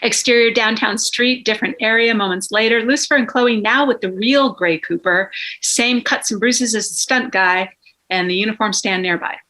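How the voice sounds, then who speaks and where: A woman's voice: a little high, moderate pace, sharp tone, poor audio. A woman reading stage directions as scripted narration.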